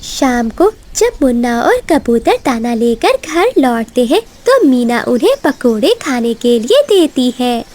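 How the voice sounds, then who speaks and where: A woman tells a cartoon fable as a scripted narrator. Her voice is high but soft-edged, and she takes the storybook lines slowly. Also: sing-song.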